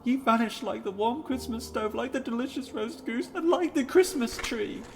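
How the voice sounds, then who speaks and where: Man voicing a high, pleading little girl. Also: moderate pace, creaky, background noise. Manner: pleading, emotional. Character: poor lonely little girl, pleading and longing. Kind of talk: dramatised storybook reading.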